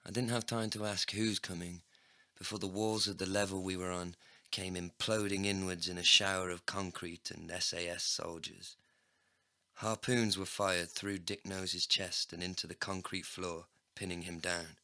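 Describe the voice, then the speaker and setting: A man narrating a scripted first-person comedy story in an expressive, sweary storytelling manner. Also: deep voice, moderate pace, no room sound, studio quality. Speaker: narrator and lead character.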